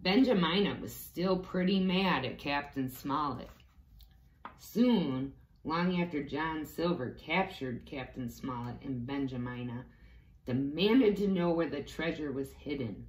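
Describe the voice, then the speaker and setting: A woman's voice: low-pitched, lively intonation, measured pace, darkish tone. A woman reading a storybook aloud to young children, expressively, with little explanatory asides. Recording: echoey room with faint background noise.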